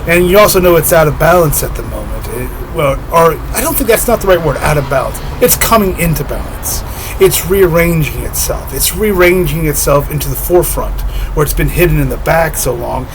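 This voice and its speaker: Man, slightly high voice, a little hoarse, slow dreamy musing, soft giggles, noisy background. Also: animated. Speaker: spiritual vlogger. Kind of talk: reflective solo monologue.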